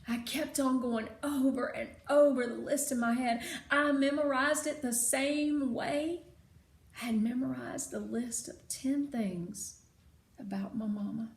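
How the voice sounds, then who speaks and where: A woman narrating a children's story expressively, with deliberate, dramatic phrasing. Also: high voice, fairly even intonation. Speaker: read-aloud narrator. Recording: roomy sound with faint noise.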